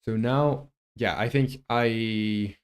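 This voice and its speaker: A man's voice: fairly low pitch, extremely slow, clear, resonant tone. A man who develops a game on a live stream, muses aloud while he codes and chats with viewers. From Chile.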